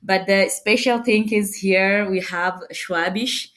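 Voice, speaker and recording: A young woman from Bangladesh, a startup co-founder, answering interview questions with many fillers and pauses. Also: medium pitch, fairly even intonation, a bit bright. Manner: hesitant, many fillers, non-native phrasing.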